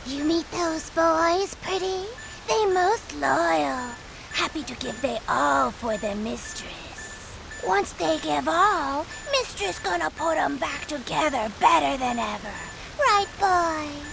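Shrill girlish creaky vampire villainess. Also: animated, slow, music in the background, heavily acted. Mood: gloating. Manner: broken grammar, drawling taunts. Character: taunting sadistic female vampire mistress, girlish and deranged. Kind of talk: video game villain acting. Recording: noisy game ambience, trickling water.

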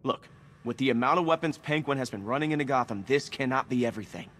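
An actor playing a cocky, loyal young crimefighter in a video game, wry and casual. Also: male voice, mid-range voice, fast talker, fairly bright tone, slight background noise, lightly acted. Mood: cocky, insistent.

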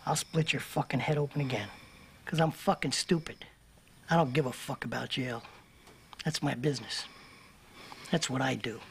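A man's voice: medium pitch, steady tone, moderate pace, gravelly, pauses often, slight background noise, natural voice. A man playing a volatile, foul-mouthed gangster. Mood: menacing calm. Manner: profane, threatening, sudden explosive outbursts. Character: volatile hot-tempered male gangster, menacing. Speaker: gangster in film scenes. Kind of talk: film drama acting.

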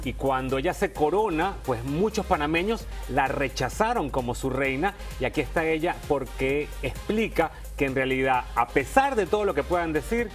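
Lively expressive male TV reporter. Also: fairly high pitch, normal speed, few pauses, faint music behind. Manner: expressive, conversational. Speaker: TV entertainment reporter. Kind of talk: TV news chat and interview. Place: TV studio.

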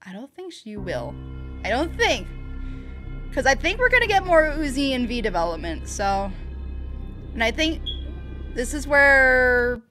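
A woman hosting a reaction video, giving casual, expressive commentary with frequent exclamations. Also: fairly high pitch. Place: own room. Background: music from the watched animation.

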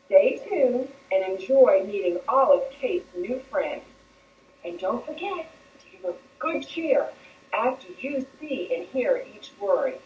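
A woman presenting a children's reading show, speaking slowly and encouragingly as she leads the viewers in cheers. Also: medium pitch, animated, slight background noise. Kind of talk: scripted address to children. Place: indoor studio set with plant backdrop. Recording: dull, roomy old videotape sound from far off.

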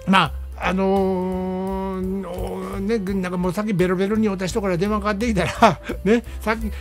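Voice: mid-range voice, level tone, normal speed. A radio host with a Kansai Japanese accent, chatting casually through a movie review in an explanatory, conversational way. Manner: conversational, explanatory, frequent "ne" tags. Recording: music playing behind the voice. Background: background music.

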